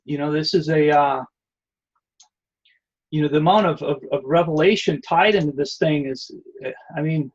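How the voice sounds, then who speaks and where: A man's voice: on the high side, normal speed, long pauses, highs cut off. A man giving an online presentation and answering questions, rambling with many fillers and restarts. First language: not Hebrew.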